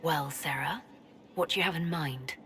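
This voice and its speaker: A low-pitched, composed woman leader. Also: normal speed, fairly bright tone. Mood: indulgent. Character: composed, dryly amused woman leader of a holy army. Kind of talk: video game character acting.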